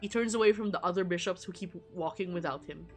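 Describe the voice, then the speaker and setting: A woman's voice: lowish voice, fast talker, a little mellow. Woman streamer, giggly reactions over music. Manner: giggly, irreverent, reacts with slangy asides. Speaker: streamer playing a visual novel. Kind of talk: livestream let's play, reading game text aloud with casual reactions.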